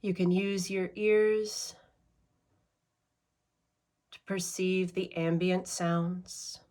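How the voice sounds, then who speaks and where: Slow, low-voiced woman guiding meditation. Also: steady tone, darkish tone. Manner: slow, measured, with long pauses. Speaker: meditation guide. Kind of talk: guided meditation.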